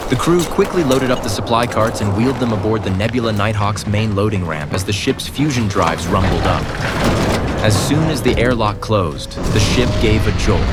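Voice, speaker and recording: A man narrating a scripted audio drama as a dramatic storyteller. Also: lowish voice.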